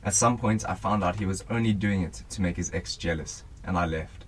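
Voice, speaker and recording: A man's voice: deep voice, brisk. A young man playing a guarded, dry civilian helping police in a radio drama. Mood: defensive. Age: young adult.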